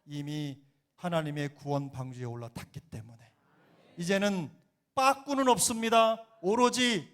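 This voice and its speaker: Man, middle-aged pastor, slight Jeolla accent, fairly high voice, earnest sermon, emphatic swells. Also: unhurried, fairly bright tone. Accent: Jeolla dialect. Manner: earnest preaching, rising to emphatic exhortation. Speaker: senior pastor. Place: church sanctuary.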